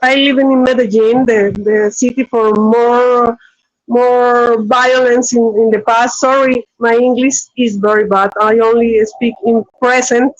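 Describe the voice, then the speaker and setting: A woman from Colombia with a Colombian Spanish accent, a Spanish speaker talking halting, apologetic English on a phone as a guest in a casual chat. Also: fairly high pitch, level tone, muffled recording. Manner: halting, searching for words, apologetic.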